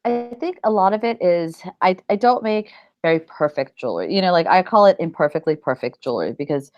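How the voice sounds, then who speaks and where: Middle-aged female interviewee, low voice, informal and rambling creative type, close dry sound. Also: lively intonation, brisk, dry recording, highs cut off. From United States. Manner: rambling, informal, frequent "like" and "you know". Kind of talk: casual interview chat.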